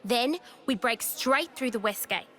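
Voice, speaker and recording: A brash, bright-voiced young rebel leader. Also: female voice, fairly high pitch, unhurried. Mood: commanding. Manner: blunt, cocky, taunting. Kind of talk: video game voice acting. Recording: game music behind the voice.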